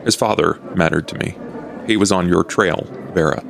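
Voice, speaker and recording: A low, gravelly male vampire played by a man at a gaming table, old and courteous. He talks in measured, formal phrases with understatement, over faint background noise. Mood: reflective, reserved.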